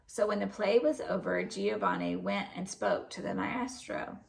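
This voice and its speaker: A woman reading a children's story aloud in an expressive narrating style. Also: mid-range voice, normal speed, creaky, low-quality recording. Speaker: storybook reader.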